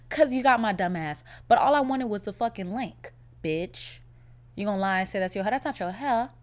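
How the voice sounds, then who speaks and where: A young woman hosting her own podcast, chatting casually in a blunt, profane, animated way, her voice a little raspy from a cold. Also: mid-range voice, moderate pace. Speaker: podcast host. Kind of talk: casual solo podcast chat.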